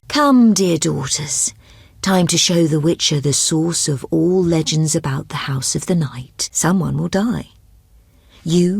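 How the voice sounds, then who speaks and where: Low, creaky, haughty vampire madam. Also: female voice, darkish tone, dry recording, studio quality, exaggerated voice. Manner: haughty, formal. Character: proud, seductive vampire madam, imperious queen of the night. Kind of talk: fantasy video game voice acting.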